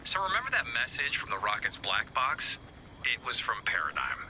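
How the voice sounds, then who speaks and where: Wordy, animated, anxious game scientist. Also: male voice, mid-range voice, background noise. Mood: wry, businesslike. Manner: halting, wordy, wry, formal vocabulary. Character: anxious, wordy male scientist leading a resistance group. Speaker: game character. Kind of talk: video game voice acting.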